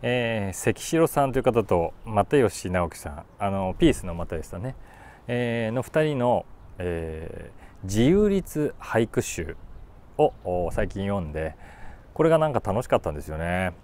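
A man in his forties talking casually about a book, thinking aloud and reading short lines with animated emphasis. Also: fairly low pitch, a little slow. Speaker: YouTube host, voice actor. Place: outdoors on a city rooftop.

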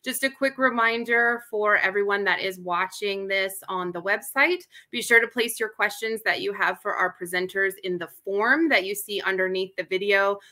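A woman in her thirties hosts an online classroom session with a crisp, bright voice that cuts through. She welcomes guests and classes in a friendly, clear way. Her webcam picks her up in a small room at home. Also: mid-range voice, moderate pace, few pauses. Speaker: host. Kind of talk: upbeat webinar hosting. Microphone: webcam on a video call.

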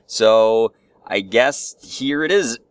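A man's voice: medium pitch, slow, no echo. A man talking casually as a video host, in a hesitant, self-deprecating manner. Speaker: YouTube host, amateur voice actor. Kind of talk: casual vlog talk.

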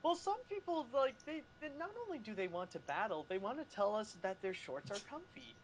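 A man with a somewhat high, very lively voice chats casually on a panel. His intonation leaps around as he makes his points, and background noise sits behind him. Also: a little fast. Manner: animated, chatty, fond of acting out examples. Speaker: podcast panelist. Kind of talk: casual chat.

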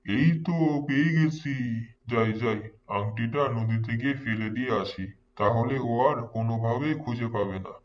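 A man's voice: mid-range voice, normal speed, lightly acted. Man voicing irritable scheming dad, middle-aged, theatrical, cartoon story, distant muffled recording. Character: scheming, irritable father, later repentant. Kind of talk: Islamic moral cartoon voice acting.

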